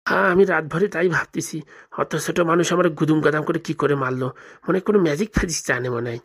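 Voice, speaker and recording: Woman as a low, quick, grumbling village boy. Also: slightly rough. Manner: complaining, colloquial, grumbling. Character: grumbling village boy, boastful but cowardly. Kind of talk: comic cartoon dialogue.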